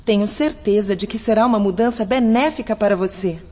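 An actress playing a kind, forgiving elder sister in a radio drama, with an earnest, tender manner. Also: female voice, medium pitch, fairly quick, dark tone, natural voice. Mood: encouraging. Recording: dull lo-fi radio drama recording.

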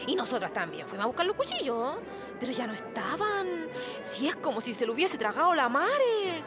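A woman's voice: sits high, very animated. A woman acting a gossipy, indignant Chilean village woman in a radio play, telling things in a colloquial, dramatic way. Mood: fearful, dramatic. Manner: colloquial, dramatic, drawn-out storytelling. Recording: music behind the voice.

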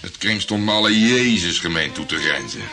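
An actor playing a tough, boastful private detective in a Dutch radio play, swaggering and swearing in colloquial speech. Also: male voice, low-pitched, animated, moderate pace, over music.

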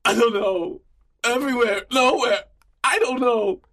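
A man's voice: extremely high pitch, a little slow, sharp tone, pitched above the performer's own voice, exaggerated voice. A man voicing a terrified male prisoner in an audiobook story, pleading and repeating himself in frantic fear. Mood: desperate denial. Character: terrified, weeping male prisoner, begging and evasive.

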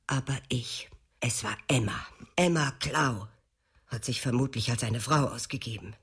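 Radio drama role: bitter bereaved mother, middle-aged, husky low register, accusing. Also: normal speed, exaggerated voice. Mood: bitter grief.

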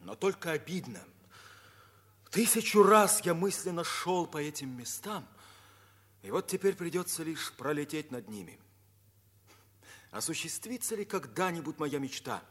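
An actor playing an ardent, commanding young pilot captain with a husky voice in a 1950s radio play. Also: male voice, a little high, big pitch swings, a little slow, long pauses, a bit bright, heavily acted. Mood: bitter, resolute.

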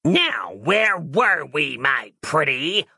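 A man voicing a snarling demon that taunts its prey with drawn-out words in an audiobook horror story. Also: fairly high pitch, sing-song, pushed and bright, slightly rough, no echo, studio quality, full character voice. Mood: gloating menace.

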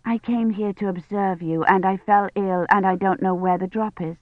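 A woman voicing an anxious, earnest young female historian in an audiobook, hushed and self-soothing. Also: mid-range voice, steady tone, moderate pace, no echo, highs cut off, lightly acted. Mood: earnest, longing. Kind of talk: audiobook character acting.

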